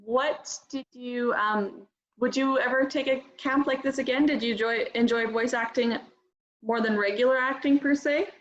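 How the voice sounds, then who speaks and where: A woman's voice: on the high side, fairly even intonation, normal speed, muffled recording. A woman interviewing a student as an instructor, chatting in an encouraging way.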